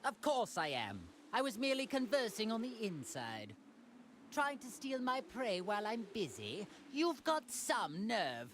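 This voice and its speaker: A man's voice: high voice, wildly swooping pitch, measured pace, fairly bright tone, a little hiss or hum, heavily acted. A man playing a haughty, cruel alien tyrant in an anime fighting game, with pompous, refined and disdainful speech. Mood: irritated, disdainful. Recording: music behind the voice.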